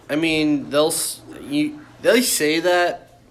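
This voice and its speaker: A man's voice: a little high, steady tone, extremely slow, a little hiss or hum. A man co-hosting a podcast, chatting casually about films in a blunt, contrarian, sweary manner. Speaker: podcast host. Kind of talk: casual film-fan chat.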